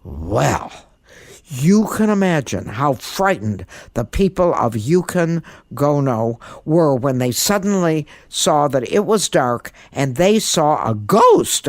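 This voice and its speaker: A woman narrating a children's story in an expressive, theatrical and emphatic way. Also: low-pitched, no echo, studio quality. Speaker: storyteller, guest podcast host.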